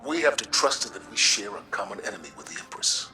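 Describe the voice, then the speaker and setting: An actor playing a firm but conciliatory military leader in a video game, speaking in an earnest, measured, persuasive way. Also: male voice, lowish voice, big pitch swings, bright, forceful tone, faint music behind, heavily acted. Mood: earnest persuasion. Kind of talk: dramatic video game cutscene acting.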